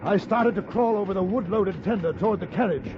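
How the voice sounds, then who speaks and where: A brave gentleman in an old radio western, narrating and speaking lines. His raised, very high-pitched voice is clipped and resolute, on a dull recording buried in loud noise. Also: fairly quick. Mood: tense narration. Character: brave, composed gentleman adventurer narrating his own tale. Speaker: lead actor and narrator.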